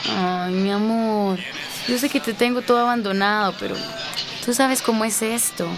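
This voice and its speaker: A woman's voice: on the high side, steady tone, moderate pace, music in the background, close to an everyday voice. Actress as an affectionate, overworked girlfriend. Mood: warm, venting. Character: affectionate, overworked woman out with her boyfriend. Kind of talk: radio soap acting.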